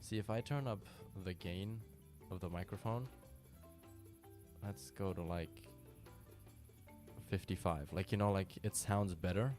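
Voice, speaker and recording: A man's voice: low voice, measured pace, long pauses, a little mellow. A tired man streaming a hunting game live, chatting casually and talking to his viewers by name. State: tired from little sleep. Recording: clear music bed behind voice. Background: music playing.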